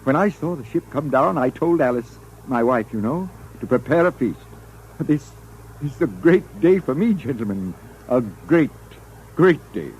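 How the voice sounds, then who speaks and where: An actor playing a gracious, lonely older doctor, halting and emotional, in 1950s radio drama style. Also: male voice, medium pitch, lively intonation, a little mellow, natural voice. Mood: proudly welcoming. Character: elderly doctor, gracious lonely host and family man. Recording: noisy vintage 1950s radio recording.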